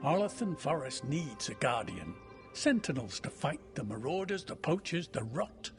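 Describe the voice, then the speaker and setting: An actor playing an earnest, eager protector of the wild in a cinematic game scene. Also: male voice, a little high, sing-song, normal speed. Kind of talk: cinematic video game acting. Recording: clear music under the voice.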